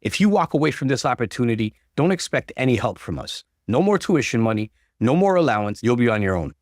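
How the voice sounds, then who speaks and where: A man narrator plays a stern father pressing his grown son, authoritative and insistent. The pitch moves in lively emphatic swings, and the sound is dry and close, as in an audio story. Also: fast talker, dry recording, lightly acted. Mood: angry, insistent. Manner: forceful, authoritative.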